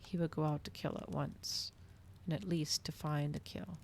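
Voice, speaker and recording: Low, creaky-voiced woman reading softly. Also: fairly even intonation, moderate pace. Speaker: streamer hosting a live reading. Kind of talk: ASMR storybook reading.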